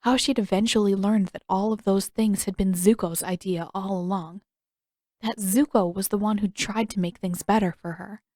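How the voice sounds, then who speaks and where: A woman's voice: mid-range voice, steady tone, moderate pace, a little mellow, no room sound, clean studio sound. A woman reading scripted fiction aloud as a story narrator. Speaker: audiobook narrator, fan fiction reader.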